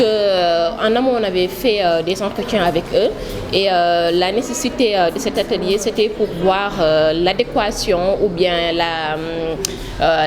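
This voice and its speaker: A woman with a Senegalese accent, a project manager answering an interview, speaking haltingly with many pauses and switching between French and Wolof. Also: medium pitch. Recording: loud background noise.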